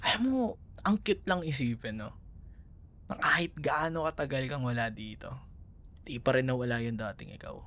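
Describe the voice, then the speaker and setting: A friendly, teasing Filipino ex-boyfriend, played by a male voice actor in an intimate audio roleplay. His medium-pitched voice rises and falls a great deal. The delivery is casual and playful, with a conversational, slightly hesitant flow and a Filipino accent. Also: expressive, moderate pace, long pauses, fairly bright tone, natural voice. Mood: teasing, fond. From Philippines.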